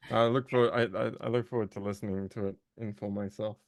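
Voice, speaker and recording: Low, creaky-voiced man chatting. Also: steady tone, quick pace, no room sound, studio quality. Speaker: interview guest, voice actor. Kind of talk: casual chat.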